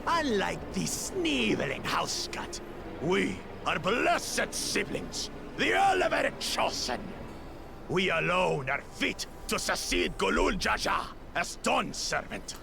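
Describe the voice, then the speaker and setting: A man's voice: high voice, very animated, slow, creaky, full character voice. A man voicing a boastful, bullying two-headed reptilian brute in a video game, pompous and sneering. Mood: haughty. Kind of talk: scripted video game voice acting. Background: music.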